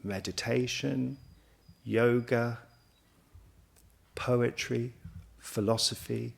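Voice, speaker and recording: A man on a college's staff speaking earnestly and deliberately in a promotional interview. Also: mid-range voice, fairly even intonation, vocal fry. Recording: music behind the voice. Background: background music.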